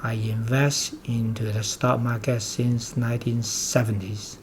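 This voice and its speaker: A man's voice: medium pitch, level tone, a little slow, slight background noise. An older man from Hong Kong with a Hong Kong accent, a native Cantonese speaker, giving investment commentary in an emphatic, repetitive, lecturing way. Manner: emphatic and repetitive, with blunt warnings.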